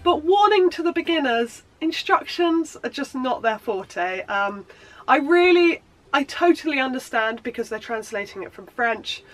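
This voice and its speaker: A woman's voice: a little high, a bit bright, rough recording. A woman sewing vlogger with a blocked nose from a cold, chatting in a bubbly, rambling way with lots of ums. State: congested from a cold. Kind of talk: casual chatty show-and-tell.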